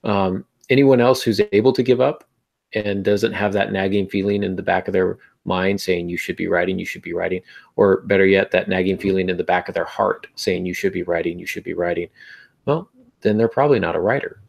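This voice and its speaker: A man's voice: low-pitched, steady tone, brisk, gravelly. A man hosting a podcast, talking conversationally and encouragingly as he gives advice straight to the listener. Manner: encouraging, addresses listener directly. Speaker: podcast host.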